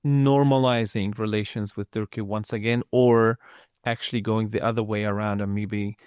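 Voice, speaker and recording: A man's voice: fairly low pitch, steady tone, moderate pace, darkish tone, clear, resonant tone, no echo, studio quality. A man hosting a podcast interview, putting brief questions and prompts to his guest. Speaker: podcast host.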